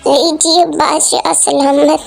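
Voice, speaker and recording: A performer voicing kindly, polite characters in a moral storybook tale, speaking in a measured, formal way. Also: high voice, little pitch movement, creaky, full character voice. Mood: humble. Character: kind, generous king and humble poor woodcutter. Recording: music behind the voice.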